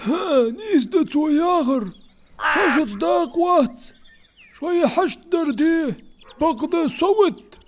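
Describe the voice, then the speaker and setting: Squeaky male cartoon character, played by a man, extremely high-pitched dubbed dialogue. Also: expressive, slow pace, long pauses, full character voice. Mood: drawn-out. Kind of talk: animated cartoon dubbing.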